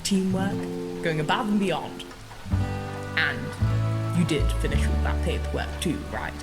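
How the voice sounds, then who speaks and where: A woman's voice: fairly low pitch, a little slow, lightly acted. A woman playing a composed, approving boss in a fan audio drama. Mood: approving, measured. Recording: long reverb, music and rain behind.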